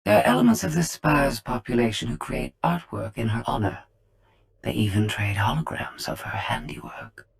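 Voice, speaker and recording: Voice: mid-range voice, expressive, normal speed, gravelly. A performer playing a cool, calculating informant in a video game, giving briefings in a precise, formal, detached way. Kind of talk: video game cutscene acting.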